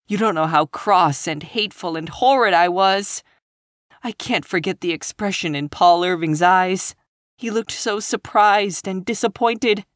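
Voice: fairly bright tone, no room sound, clean studio sound, close to an everyday voice. A reader voicing Anne, a tearful, impulsive young woman teacher who talks in breathless, earnest bursts. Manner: breathless, sobbing, high and earnest.

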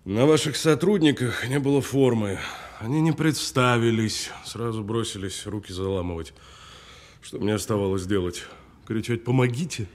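An actor playing a tough, unrepentant man in an audio drama, justifying himself in a matter-of-fact, deadpan way. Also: fairly low pitch, normal speed, natural voice. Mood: defensive, deadpan. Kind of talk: naturalistic audio drama acting.

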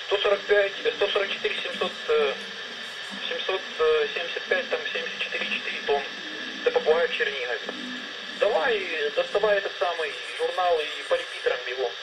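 A man's voice: on the high side, animated, fairly quick. A man talking casually and colloquially as an amateur operator, heard over a two-way radio. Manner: colloquial, informal advice-giving. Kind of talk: casual ham radio chat. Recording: very noisy two-way radio transmission.

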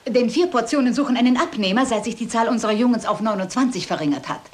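An obliging, chatty lady aboard a ship, acted by a woman in a crime drama. She speaks quickly in a rather high voice. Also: animated, soft background music, close to an everyday voice. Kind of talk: German dubbed crime film acting.

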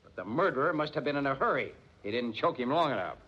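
An actor playing a gruff, sarcastic police chief in a 1940s radio crime drama. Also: male voice, medium pitch, sing-song, fast, dull audio. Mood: brisk, wry. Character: brusque, wry male police chief in command.

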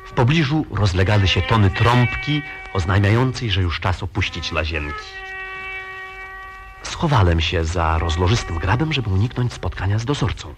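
An actor playing a dreamy, easily flustered young male poet in a 1940s radio play, telling his story in a measured, lyrical way. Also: low-pitched, animated. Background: loud music score.

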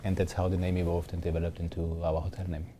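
A man's voice: deep voice, little pitch movement, quick pace, dark tone, a little hiss or hum. A man from Austria in his fifties, a hotel general manager giving upbeat, fluent interview answers in a hotel lounge.

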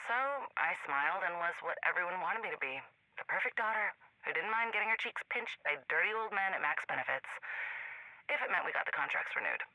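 An actress playing a weary, sardonic daughter of an arms contractor, speaking dryly over a comm filter in a video game. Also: female voice, on the low side, pushed and bright, dry recording, poor audio. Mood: weary, bitter. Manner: dry, sardonic. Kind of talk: naturalistic video game dialogue.

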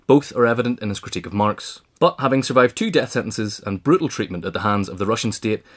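A man's voice: lowish voice, fast. A man narrating a scripted political history essay in a formal, articulate read-aloud manner. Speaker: audiobook reader.

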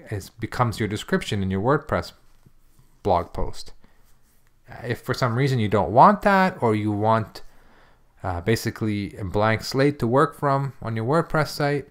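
Man giving a casual software walkthrough. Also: lowish voice. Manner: informal, explanatory, step by step. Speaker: software demonstrator. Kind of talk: casual screencast tutorial walkthrough.

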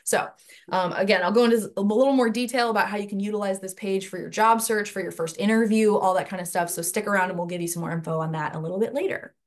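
A woman's voice: medium pitch, fast talker. A young woman hosts a webinar panel from her home on a headset mic. She chats easily and drifts into long, rambling anecdotes while keeping the session moving. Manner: chatty, rambling storyteller. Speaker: host.

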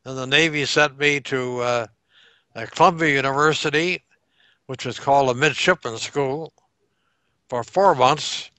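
A man in his nineties recalls old events at an unhurried pace, stopping now and then to pin down dates. His voice is bright and crisp, picked up dry and close by a headset on a video call. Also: mid-range voice, dry recording. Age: 90s. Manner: slow, reflective recollection with pauses to recall dates. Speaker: World War Two veteran interviewee. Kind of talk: oral history interview over video call. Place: home room by a staircase.

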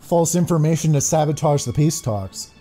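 Mellow, laid-back male gamer. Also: on the high side, normal speed. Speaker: gaming host. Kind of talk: casual playthrough commentary. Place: indoor room at home. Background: game music.

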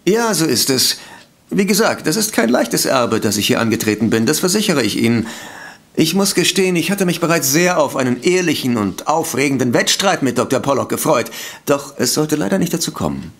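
A man's voice: fairly high pitch, big pitch swings, brisk, a bit bright. An actor playing a polite, defensive male sanatorium director in a detective radio play, speaking formally and hesitantly. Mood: defensive, earnest. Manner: formal, hesitant, self-justifying. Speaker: voice actor in audio drama.

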